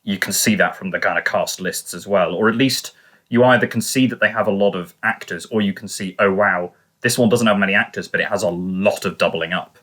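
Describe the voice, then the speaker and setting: A man co-hosting a podcast in casual review talk, tentative and measured, carefully qualifying each point he makes. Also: low-pitched, fairly bright tone. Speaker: podcast co-host.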